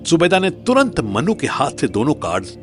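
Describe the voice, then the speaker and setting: A man's voice: on the high side, wildly swooping pitch, normal speed, music in the background. A man narrating a scripted audio drama story as its narrator.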